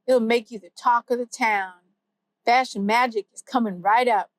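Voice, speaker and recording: A woman voicing a fast, wisecracking comic AI sidekick who is always ready with a punchline. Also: fairly high pitch, steady tone, no echo, lightly acted. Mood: playful. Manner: quick punchlines, playful.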